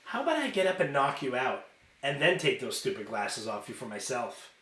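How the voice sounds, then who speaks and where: A man's voice: mid-range voice, expressive, a little fast, fairly bright tone, roomy sound, slight background noise, natural voice. A man voicing a cunning, defiant female fairy officer held captive in a storybook, clipped and probing. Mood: cold defiance.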